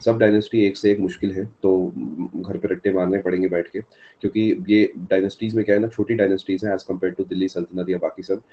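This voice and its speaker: Male online tutor, very low voice, a bit hoarse from a sore throat, dull streamed sound. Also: little pitch movement, a little fast. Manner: answers live chat by name, mixes English terms. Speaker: teacher. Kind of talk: live online exam-prep lecture.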